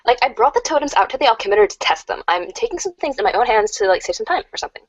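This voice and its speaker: A woman's voice: a little high, big pitch swings, rapid-fire, dry recording. A woman voicing a breezy, self-assured teenage Valley girl, in a muffled lo-fi recording.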